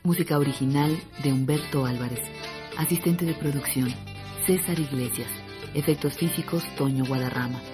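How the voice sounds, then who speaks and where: A woman announcer reading the credits in an even, formal way. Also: low voice, normal speed. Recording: studio voice over loud guitar music.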